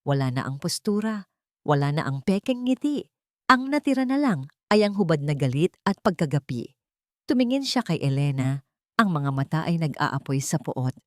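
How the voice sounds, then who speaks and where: A woman narrating an audio drama in a steady, dramatic storytelling manner. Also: deep voice, moderate pace, no room sound, clean studio sound.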